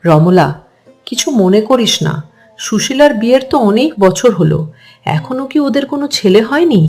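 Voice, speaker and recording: A woman's voice: a little high, big pitch swings, normal speed, darkish tone, soft background music. A woman voicing a gossipy, scheming older grandmother in a cartoon story, with a chatty, pushy, persuasive manner. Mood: friendly, prying. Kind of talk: Bengali cartoon story dialogue.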